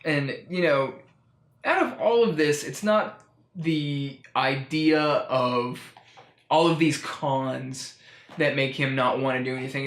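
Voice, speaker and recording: A man hosting a comedic storytime show, giving a rambling, wry, mock-serious monologue. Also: fairly high pitch. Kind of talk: comic monologue reviewing a children's book. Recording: roomy echo, music underneath.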